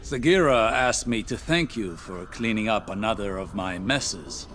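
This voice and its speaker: Game role: proud, ominous doom prophet, low and very unhurried, some music behind. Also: male voice, a bit bright. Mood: proud, measured. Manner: deliberate, formal, ominous. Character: grave, proud male prophet warning of doom. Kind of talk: video game story dialogue.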